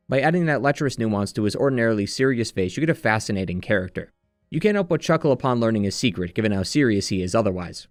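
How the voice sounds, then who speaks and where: A man reading scripted narration in a measured, earnest way, like a video essayist walking through a story retrospective. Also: mid-range voice, darkish tone, no echo, clean studio sound. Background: background music.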